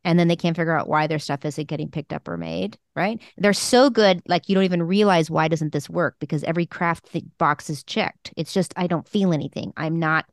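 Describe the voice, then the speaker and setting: A woman's voice: low voice, steady tone, fairly quick, creaky, hardly any pauses, no echo, clean studio sound. A woman co-hosting a talk show, giving frank, emphatic advice to emerging writers in a casual conversational way. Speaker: podcast co-host and screenwriting mentor.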